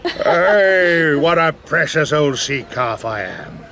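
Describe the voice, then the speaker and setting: An actor playing a jovial, crafty old one-legged sailor and innkeeper in an audio adventure, with a gravelly voice and hearty seafaring dialect. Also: male voice, on the high side, big pitch swings, slow, sharp tone, full character voice. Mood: laughing, hearty. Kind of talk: dramatised audio adventure acting.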